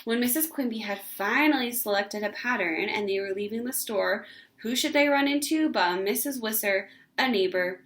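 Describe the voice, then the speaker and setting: High-voiced woman reading a children's story. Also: normal speed, a bit bright. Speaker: read-aloud narrator. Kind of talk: expressive children's story narration.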